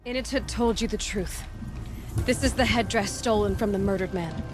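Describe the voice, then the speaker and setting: A woman's voice: medium pitch, normal speed, over music, natural voice. Determined young huntress played by a woman. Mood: firm, earnest.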